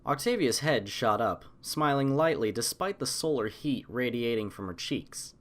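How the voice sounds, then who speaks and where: A man narrating a scripted fan story as an audiobook, in an expressive storytelling style. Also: mid-range voice, moderate pace.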